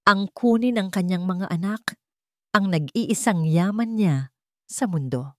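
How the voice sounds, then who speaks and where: A woman with a Filipino accent narrates a scripted story in a measured, dramatic way, in short phrases. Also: mid-range voice, dry recording, clean studio sound.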